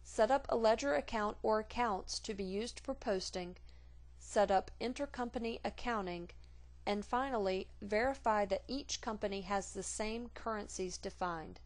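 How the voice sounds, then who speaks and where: A woman reading scripted instructional narration for a software tutorial in a measured, formal, neutral manner. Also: medium pitch.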